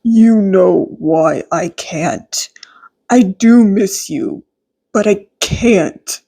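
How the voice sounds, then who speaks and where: A woman's voice: mid-range voice, very slow, gravelly, dark tone, slightly rough, voice pushed down, heavily acted. A woman voicing a caring but distant husband in an audiobook story. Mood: regretful. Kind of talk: audiobook character voice.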